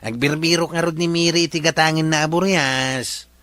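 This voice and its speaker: Male actor, teasing gossipy villager, Ilocano accent, rather high pitch, sharp bright tone, comedy drama. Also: fairly even intonation, moderate pace, no room sound, natural voice. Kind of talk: Ilocano radio-style comedy drama dialogue.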